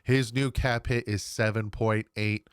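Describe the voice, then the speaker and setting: A man in his thirties with a lowish voice, thick and nasal from a head cold. He chats to his viewers in a loose, rambling way with quick asides. The sound is dry and close on a desk microphone. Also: steady tone, clear, resonant tone, dry recording. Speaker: sports channel host. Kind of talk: casual solo sports commentary. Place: home room.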